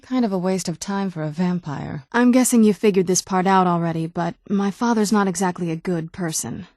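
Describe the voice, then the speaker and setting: A woman's voice: fairly low pitch, fairly quick, a little mellow, no room sound, clean studio sound, natural voice. A young woman playing an ancient but youthful vampire noblewoman in a video game, speaking in a wry, understated, conversational way. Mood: dry, confiding. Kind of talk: video game character dialogue.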